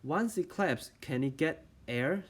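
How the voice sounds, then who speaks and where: A young man from China, a medical student with a Chinese accent, talking through a physiology lesson in a careful, halting way and answering his own questions. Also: fairly high pitch, expressive, husky. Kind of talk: lecture-style explainer video.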